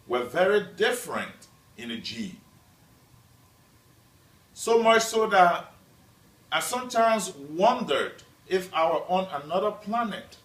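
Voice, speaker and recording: Slow, measured man from Liberia. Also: high voice, a bit bright. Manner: slow, measured delivery with deliberate pauses. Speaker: student presenter. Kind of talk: prepared class speech. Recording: echoey room, mic at a distance.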